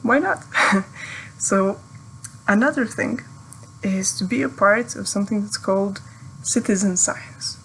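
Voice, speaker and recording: A woman's voice: medium pitch, a little slow, long pauses, a bit bright, a little hiss or hum, rough recording. A young woman from Serbia, a PhD student whose first language is Serbian, giving friendly, thoughtful advice in English in a recorded video message. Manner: friendly, thoughtful, with light laughs.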